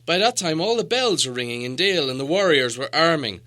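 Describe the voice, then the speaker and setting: Guy doing a proud old dwarf chief for an audiobook, solemn n grand, really sharp forceful voice. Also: a little high, lively intonation, brisk, voice pushed up. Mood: urgent, dramatic. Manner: grave, grandiose storytelling. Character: proud, solemn old dwarf leader recounting lost glory. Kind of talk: audiobook character dialogue.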